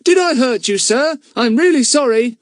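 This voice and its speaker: A man's voice: very high-pitched, lively intonation, moderate pace, no room sound, pitched above the performer's own voice. A man voicing a polite, eager teenage telegraph boy who speaks meekly and apologetically. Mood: apologetic. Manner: meek, apologetic.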